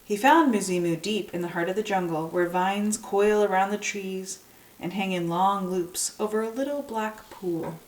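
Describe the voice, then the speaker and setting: A woman storyteller reading a children's folktale aloud in an expressive narrating style. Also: mid-range voice, normal speed. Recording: echoey room, distant microphone.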